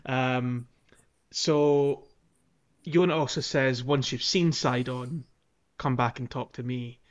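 A man with a mid-pitched voice chats as a podcast co-host about a game playthrough. He explains things step by step in a casual way, pausing and hesitating as he gathers his thoughts. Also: steady tone.

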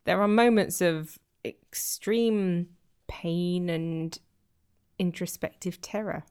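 A woman's voice: on the low side, takes it slowly, darkish tone, smooth. Wry woman in casual panel banter. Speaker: podcast panellist.

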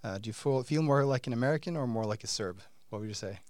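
A man's voice: mid-range voice, darkish tone. A middle-aged man hosting an interview podcast, asking long questions with frequent fillers and restarts. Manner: halting, many fillers and restarts. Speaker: podcast host.

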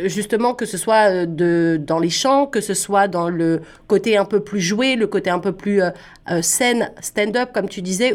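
A woman radio host from France, speaking with a French accent as she conducts an interview. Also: mid-range voice.

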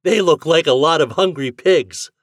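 A man voicing excitable, teasing young boys in a storybook, exclaiming boisterously. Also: fairly high pitch, moderate pace, no room sound, studio quality, pitched above the performer's own voice, close to an everyday voice.

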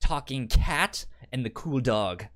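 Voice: mid-range voice, expressive, takes it slowly, a bit bright. A podcast co-host chatting casually and recapping shows, often stammering and repeating words and syllables. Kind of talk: casual chat, pitching and recapping anime.

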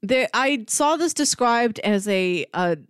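A woman's voice: a little high, expressive, takes it slowly, clear, resonant tone, dry recording, studio quality. A woman chatting casually as a podcast co-host, thinking aloud in a slightly hesitant way. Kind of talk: casual unscripted podcast chat.

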